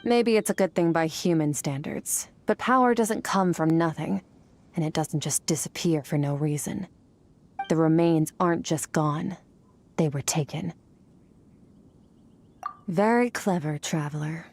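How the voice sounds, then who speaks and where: A woman's voice: sits low, unhurried, pauses often, darkish tone, slight background noise. A woman voicing an aloof, cold young woman warrior who speaks in a cool, detached and clipped way. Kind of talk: game voice acting.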